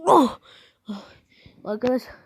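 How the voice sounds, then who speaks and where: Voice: slow pace, dry recording, clean studio sound. A video game YouTuber giving rambling, exclamatory let's play commentary, often repeating phrases and talking straight to viewers.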